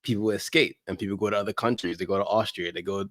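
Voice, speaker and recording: Male podcast guest, very quick, emphatic casual talk, close dry sound. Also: on the low side, fairly even intonation, fairly bright tone, no room sound. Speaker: guest, soccer podcast creator. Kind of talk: animated casual interview chat.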